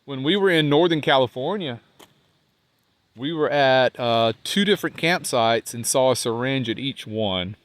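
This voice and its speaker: A man with a congested, nasal voice, talking casually to the camera outdoors as a van life vlogger, rambling and trailing off quietly. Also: medium pitch, lively intonation, a little slow. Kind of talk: casual vlog talk while walking. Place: outdoors by a riverbank park.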